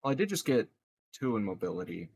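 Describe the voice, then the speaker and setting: A man's voice: fairly low pitch, very animated, quick pace, a little mellow, slight background noise. A man chatting casually as a player in a tabletop game, thinking aloud a little hesitantly. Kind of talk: casual gaming chat.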